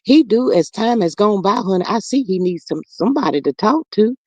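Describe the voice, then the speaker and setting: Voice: mid-range voice, lively intonation, a little fast, a little mellow, no echo, close to an everyday voice. A performer voicing an older mother who stammers with fillers and calls everyone honey and child while chatting over viewer comments on a livestream. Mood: gossipy chatty. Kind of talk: improvised comedic character livestream.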